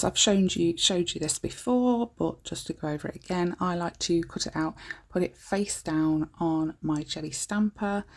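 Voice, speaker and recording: A woman talks through a nail art tutorial, her voice croaky and groggy. The tone sits a little low, gravelly with vocal fry, and husky. She chats casually and keeps stopping to correct herself. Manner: chatty and informal, breaks off often to correct herself.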